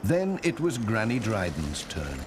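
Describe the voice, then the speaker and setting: Guy telling a kids cartoon story, lively pitch, noise in the background. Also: a little slow. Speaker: narrator.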